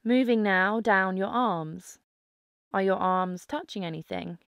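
A woman narrating a guided mindfulness meditation, giving calm, gentle instructions with pauses. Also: medium pitch, vocal fry, clean tone, no echo, clean studio sound.

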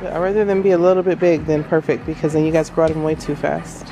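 A woman's voice: low-pitched, fairly even intonation, fast, low-quality recording. A woman in her thirties vlogging casually, talking directly and familiarly to her viewers. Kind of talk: casual chatty vlog. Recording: music behind the voice. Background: background music.

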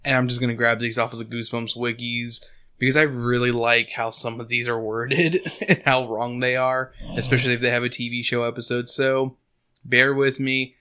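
A slightly hoarse man hosting a casual book podcast, rambling with lots of fillers and laughing often, recorded on a desk mic at home. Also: mid-range voice, level tone, moderate pace, bright, forceful tone. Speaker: podcast host. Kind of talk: casual chat and book recap. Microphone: desk condenser mic.

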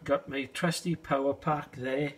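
A middle-aged British man giving a casual, unhurried and low-key hobbyist demonstration in a conversational way. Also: fairly even intonation, husky. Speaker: hobbyist video host. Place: small indoor space, shed.

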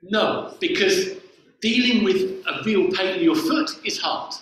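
Man, bright voice, high-pitched, lively playful vicar, echoey church, picked up from across the room. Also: a little slow, far from the mic. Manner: lively, playful, directing a congregation. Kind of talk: all-age sermon with games. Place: church hall with a lectern. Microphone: far camera on the floor.

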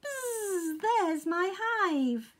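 A woman's voice: very high voice, sing-song, very slow, voice pushed up, heavily acted. A woman voicing a busy, polite little female honeybee, buzzing between her phrases in a children's storybook. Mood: happy.

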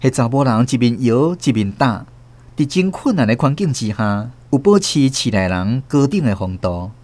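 An actor with a Taiwanese accent playing a wry first-person storyteller in a radio drama, narrating expressively. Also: male voice, medium pitch, big pitch swings, normal speed, a little mellow. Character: wry male storyteller observing a mother, returned from abroad.

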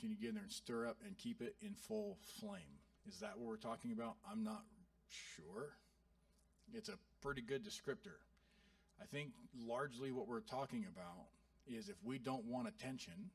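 Lively gravelly man preaching. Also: a little high, no room sound, distant mic. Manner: animated, joking storyteller. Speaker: pastor. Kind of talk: sermon. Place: church sanctuary.

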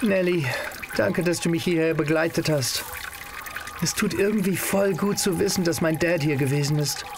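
A man's voice: a little high, moderate pace, background noise, lightly acted. A young man playing an earnest teenage boy adventurer in an audio drama, sometimes hesitant. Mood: earnest, moved.

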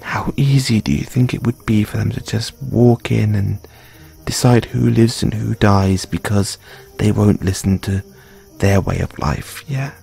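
A man performing an intimate comfort roleplay as a gentle boyfriend consoling his grieving partner, speaking in a soothing, tender, hushed way. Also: on the low side, steady tone, a little slow, gravelly, husky, faint music behind.

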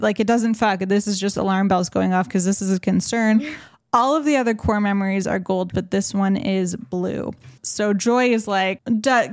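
A woman's voice: mid-range voice, darkish tone, smooth, no room sound. Young woman podcast host, chatty, dry close mic, recapping a movie. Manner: chatty, laughs often, swears casually. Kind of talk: casual podcast chat, recapping a film.

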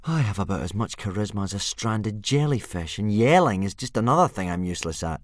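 A man's voice: fairly low pitch, expressive, fairly quick, dry recording, studio quality, voice pushed up, natural voice. A man voicing a gloomy, put-upon young Viking boy who talks in a sulky, complaining way. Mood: cross.

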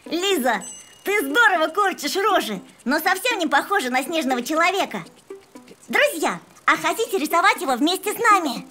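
A woman's voice: extremely high pitch, sing-song, moderate pace, noisy background. A woman voicing a cheerful young boy bunny who teaches drawing in a children's cartoon, encouraging and instructive. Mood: playful, friendly. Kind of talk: children's educational cartoon dubbing. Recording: music behind the voice.

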